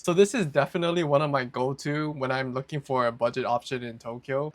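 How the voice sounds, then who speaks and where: A man's voice: on the high side, a bit bright, no echo. A young American man from Hawaii talking casually and informally to camera as a vlogger. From Hawaii, United States. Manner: informal, conversational, mild slang. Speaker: vlogger presenting a list of favourite foods.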